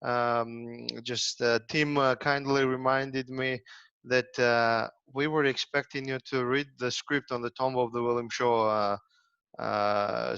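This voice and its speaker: A man chairing an online lecture, polite and hesitant, filling pauses with many ums and uhs. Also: mid-range voice, gravelly, a bit bright, smooth, no echo. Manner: hesitant, full of uh fillers, polite. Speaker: moderator. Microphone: video call. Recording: dry, dull lo-fi call audio.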